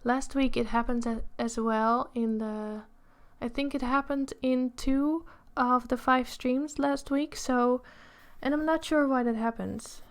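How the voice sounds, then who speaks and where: A woman in her twenties chatting casually on an art livestream while she draws, unhurried and pausing between short phrases. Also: high-pitched, steady tone. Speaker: art streamer and pastel artist. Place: indoors at a drawing desk.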